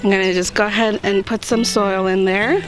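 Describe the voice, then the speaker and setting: A woman with a slightly hoarse voice, hosting a gardening vlog in an upbeat, chatty way as she walks through each step outdoors. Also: mid-range voice, steady tone, moderate pace, poor audio. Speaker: gardening channel host. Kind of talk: casual how-to chat to camera. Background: background music.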